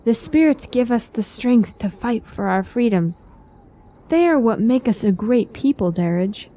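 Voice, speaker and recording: Anxious, mellow-voiced fantasy queen played by a woman. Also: on the high side, expressive, unhurried. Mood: earnest. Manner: earnest, worried.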